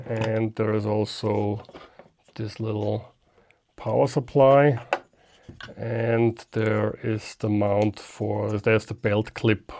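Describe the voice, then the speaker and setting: A man presenting a casual, unscripted product unboxing, thinking aloud haltingly as he handles things. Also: on the low side, gravelly, darkish tone, slight background noise. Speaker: amateur radio video host.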